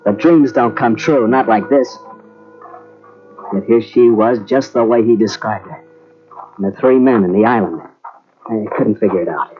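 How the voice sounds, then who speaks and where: Man playing a gruff, wry soldier narrator; low voice; muffled old radio recording with faint music. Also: moderate pace, pauses often, natural voice. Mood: narrating. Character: tough wartime soldier narrator, wry and streetwise. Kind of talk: 1940s radio acting.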